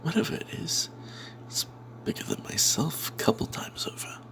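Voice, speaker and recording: Man whispering a secretive fantasy tale. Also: low-quality recording. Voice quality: breathy, unvoiced whisper. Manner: hushed and secretive, with dramatic pauses. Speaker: narrator telling the tale in character as a cleric. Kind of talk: whispered ASMR storytelling of a tabletop fantasy adventure.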